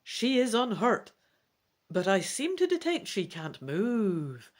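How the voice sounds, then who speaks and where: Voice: mid-range voice, sing-song, slow pace. A reader voicing a caring, authoritative sorceress queen and mother, solemn, in a dramatic storybook reading. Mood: relieved, reassuring.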